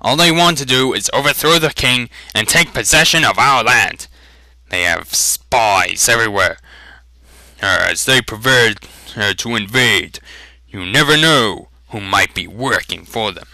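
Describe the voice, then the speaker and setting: Casting: a man as a fat, pompous fantasy traitor, smooth persuader, sharp bright tone, slightly raised voice, dry sound. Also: medium pitch, unhurried, dry recording, low-quality recording. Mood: persuasive. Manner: smooth-talking, pleading, emphatic.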